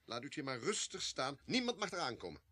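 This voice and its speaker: Imperious, sharp-voiced army colonel. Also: male voice, medium pitch, wildly swooping pitch, quick pace, breathy edge, no echo, full character voice. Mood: commanding. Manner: formal, commanding, clipped. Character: imperious, evasive male army colonel, coolly polite. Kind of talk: Dutch radio drama acting.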